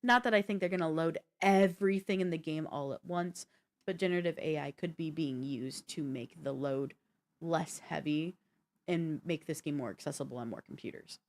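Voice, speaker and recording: A woman's voice: sits low, normal speed. A woman co-hosting a gaming show, talking casually and explaining things at length with rambling asides. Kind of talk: casual explanatory chat about video games.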